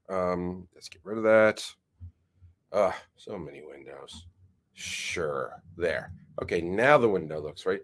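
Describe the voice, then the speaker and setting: Stuffy-nosed man, rambling commentary. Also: on the low side. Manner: rambling, halting restarts. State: nasal from a head cold. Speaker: channel host. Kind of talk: casual screen-share commentary.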